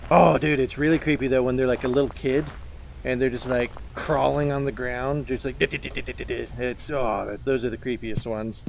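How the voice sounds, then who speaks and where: A man's voice: medium pitch, steady tone, brisk. A man chatting casually and informally as he streams a horror game, swearing and joking. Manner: informal, profane, jokey. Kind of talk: casual chat while playing a horror game. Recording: clear background game noise.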